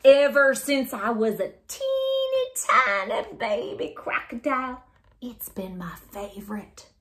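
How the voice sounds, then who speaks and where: A woman voicing a dramatic young crocodile who loves watermelon and panics easily, exclaiming in drawn-out words for a children's storybook. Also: high-pitched, sing-song, a bit bright, exaggerated voice. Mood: gleeful, greedy. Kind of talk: children's storybook read-aloud.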